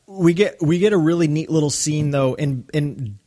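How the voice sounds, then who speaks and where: A man's voice: on the high side, normal speed, mellow. A man co-hosting a podcast in casual, enthusiastic discussion. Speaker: podcast co-host. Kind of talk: casual discussion of a radio drama.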